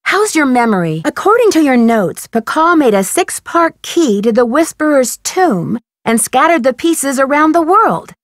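A woman playing a friendly, earnest, inquisitive young female detective in an adventure video game, coaxing and questioning with clear diction. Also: sits high, big pitch swings, normal speed, few pauses, dry recording, clean studio sound. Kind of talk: scripted adventure game dialogue.

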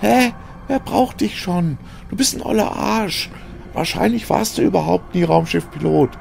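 Man putting on a shrieking, croaking, spiteful grotesque woman. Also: animated, normal speed, a little mellow, exaggerated voice. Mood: spiteful, furious. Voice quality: croaking, shrill. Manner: jeering, cursing. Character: bloated, grotesque, spiteful croaking female creature, foul-mouthed.

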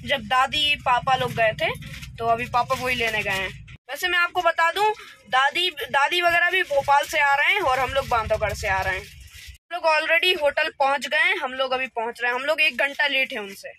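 High bright young boy, chatty vlogger. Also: moderate pace, a little hiss or hum. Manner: chatty, keeps saying "okay" and "guys". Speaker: travel vlogger. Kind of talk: casual travel vlog. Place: outdoors and in a moving car. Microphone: phone held at arm's length.

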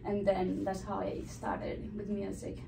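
A woman's voice: mid-range voice, monotone, unhurried, gravelly. Young woman from cuba talking about guitar, lots of you know, echoey and far. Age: young adult. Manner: eager, with many "you know" fillers. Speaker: classical guitarist guest. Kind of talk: interview answers. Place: small room with a framed map on the wall. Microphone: stand mic, filmed by a far camera. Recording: roomy, distant, noisy.

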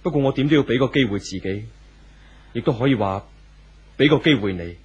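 A man acting a resigned, lovesick twenty-five-year-old in a 1970s radio drama, grave and measured, in Hong Kong Cantonese. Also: mid-range voice, animated, slightly rough, dull audio. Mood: grave determination.

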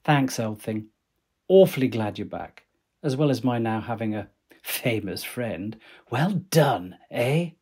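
A husky-voiced Victorian painter in his forties, acted by a man, for a period radio drama. He is hearty and chummy, talking like an old pal in dated gentlemanly slang. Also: mid-range voice, animated, slow. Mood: warm congratulation. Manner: chummy, old-fashioned upper-class slang. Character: jovial forty-year-old Victorian male painter, hearty chum.

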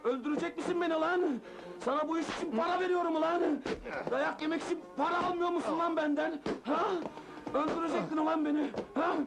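An actor playing a hot-tempered, self-pitying nightclub boss, ranting and groaning melodramatically in a comedy film. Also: male voice, high voice, a little fast, gravelly, over music. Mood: furious. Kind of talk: Turkish comedy film acting.

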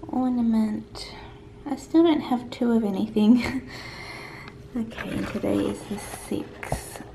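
A young woman vlogging casually at home, tired and croaky-voiced, rambling and laughing often as she chats to her camera. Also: fairly high pitch, fairly even intonation, slow pace, background noise. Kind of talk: casual daily vlog. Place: home kitchen. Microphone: handheld camera at arm's length. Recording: echoey kitchen with faint ambience.

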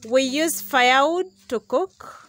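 A woman's voice: sits high, a little slow, fairly bright tone, soft background music. A young Ugandan woman, Luganda her first language, vlogging outdoors in a village yard to a camera a few meters away, greeting viewers and calling them "guys". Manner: repeats "guys" and thanks viewers often. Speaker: vlogger host. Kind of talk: casual vlog to camera. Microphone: camera on a tripod, a few meters away.

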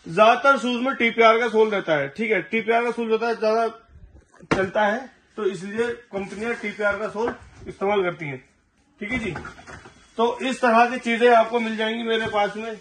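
A man in his thirties giving a sales pitch for shoe stock to the camera, returning again and again to "thik hai ji". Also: steady tone, normal speed, noisy background. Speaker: shoe wholesaler. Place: large tiled warehouse stacked with shoe boxes. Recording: echoey warehouse, mic at a distance.

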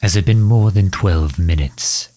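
A man playing a commanding, protective villain in a roleplay audio drama, formal and stern, with a husky, breathy voice. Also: sits low, mellow, no room sound. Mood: urgent, commanding. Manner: formal, stern, halting when flustered. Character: protective, commanding male villain fretting over injured hero. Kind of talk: scripted roleplay audio drama in ASMR style.

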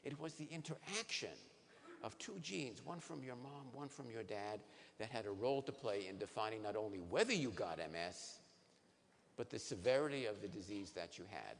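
A man in his sixties, a neurologist, giving a lively science lecture to patients on a conference stage, asking the audience questions. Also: on the low side, moderate pace, creaky. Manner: animated, asks the audience questions. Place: large conference hall stage. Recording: reverberant hall, faint noise.

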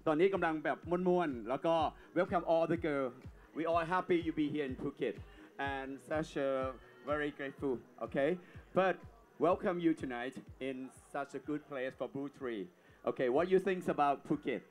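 A man hosts a pageant event in upbeat, broken English. He talks quickly, with a somewhat raised voice. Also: fairly high pitch, soft background music. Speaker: event host. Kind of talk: stage hosting.

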